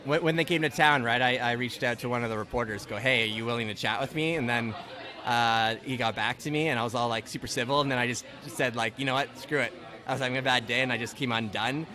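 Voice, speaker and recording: Podcast host from Ontario, bright crisp voice, casual rambling delivery full of restarts. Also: male voice, medium pitch, fast. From Ontario, Canada. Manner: rambling, many restarts and "like", "right?". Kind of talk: casual conversational interview.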